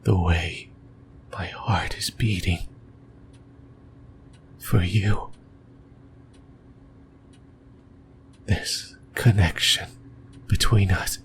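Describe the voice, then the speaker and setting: A man voicing a lonely, possessive sleep paralysis demon in a creepy, intimate ASMR monologue, whispering breathily and haltingly close to the mic. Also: deep voice, steady tone, slight background noise, full character voice. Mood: yearning. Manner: slow, halting, rambling, intimate. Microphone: close microphone, whispering into the ear.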